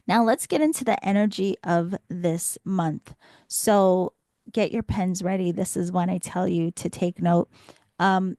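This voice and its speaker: A woman hosting a spiritual podcast as a reflective, instructive monologue, her voice slightly scratchy and hoarse from much talking. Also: mid-range voice, mellow, no room sound. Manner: reflective, instructive, posing rhetorical questions. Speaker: podcast host and spiritual teacher.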